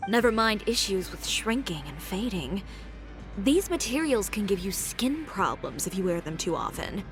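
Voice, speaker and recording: Game role: self-possessed young designer, mid-pitched, proud and resolute, delivering lines in a matter-of-fact way. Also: female voice, big pitch swings, moderate pace, over music. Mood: dry, assured. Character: composed, proud, determined young female fashion designer. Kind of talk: video game dialogue acting.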